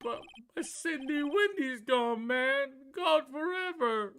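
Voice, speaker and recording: A man voicing a melodramatic, heartbroken young man from a game, moping in a whiny, drawn-out way. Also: extremely high pitch, expressive, sharp tone, voice pushed up, heavily acted. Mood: wailing. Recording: music under the voice.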